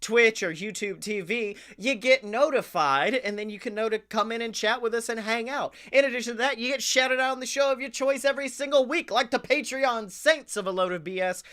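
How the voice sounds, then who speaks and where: A man's voice: very high-pitched, a little fast, hardly any pauses. A man co-hosting a comedy podcast, chatting casually with profane, jokey plugs. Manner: profane, jokey, animated plugging.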